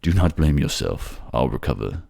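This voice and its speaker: A man voicing a grumpy, battered but tender warrior king, gruff, weary and halting, in a fantasy audio drama. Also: very deep voice, big pitch swings, mellow, heavily acted. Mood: weary, wry.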